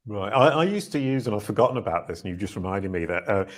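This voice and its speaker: A man's voice: fairly low pitch, lively intonation, quick pace. A man in his fifties from the north of England, hosting a casual video interview and telling anecdotes. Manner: chatty, anecdotal, uses analogies. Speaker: podcast host. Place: home room, indoors.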